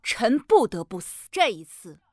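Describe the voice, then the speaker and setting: A woman's voice: a little high, sing-song, heavy background noise. An actress playing a vengeful, commanding female general in a period drama, speaking with imperious menace. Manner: slow, imperious, menacing delivery.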